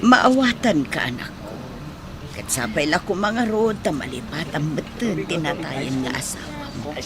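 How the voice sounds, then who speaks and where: A woman's voice: deep voice, big pitch swings, normal speed, noisy background. An actress playing an older mother, tenderly protective and full of advice, in a radio drama. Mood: consoling. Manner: tender, advising. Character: older mother, fussing and protective of grown child.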